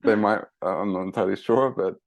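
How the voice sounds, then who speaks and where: A man with a New Zealand accent, guest on a travel podcast, explaining at length in a relaxed way with many fillers and restarts. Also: fairly low pitch, quick pace, no echo. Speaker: guest, mountain monk trainer. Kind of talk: casual interview.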